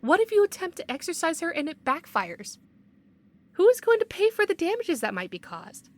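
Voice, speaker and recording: An actress playing a strict, skeptical supervisor in an audio drama, firm and officious. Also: female voice, extremely high pitch, sing-song, moderate pace. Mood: stern, insistent.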